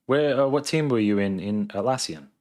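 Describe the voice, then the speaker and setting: An Australian man in his thirties with a fairly low-pitched voice. He talks casually, as a livestreamer does, reading out questions and thinking aloud as he answers. His manner is laid-back and conversational. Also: moderate pace, darkish tone. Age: 30s. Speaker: live streamer, software engineer.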